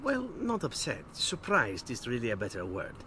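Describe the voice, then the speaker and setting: An actor playing a roguish, flirtatious assassin in a video game, telling tales in a suave, glib, teasing way. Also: male voice, medium pitch, wildly swooping pitch, moderate pace, pushed and bright, a little hiss or hum. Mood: breezy, unrepentant. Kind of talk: video game dialogue acting.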